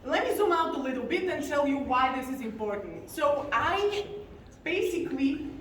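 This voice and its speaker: A woman, giving an earnest, confessional talk from a conference stage and slowing down on key words. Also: sits high, expressive, fairly bright tone. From Bulgaria. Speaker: conference speaker. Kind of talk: personal story talk on stage. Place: large conference hall stage. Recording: very echoey hall, distant mic, faint noise.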